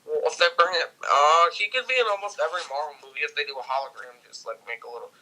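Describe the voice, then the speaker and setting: A man with a very bright, forceful voice talks as a guest on a movie review. His delivery is informal and conversational, with a sharp edge to the sound. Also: on the high side, sing-song, very fast, poor audio. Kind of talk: casual chat, film review.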